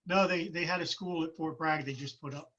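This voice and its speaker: Husky, bright-voiced man in his fifties. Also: on the high side, steady tone, dull audio. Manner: reflective, halting with restarts. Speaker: podcast guest, military retiree. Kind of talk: casual conversation.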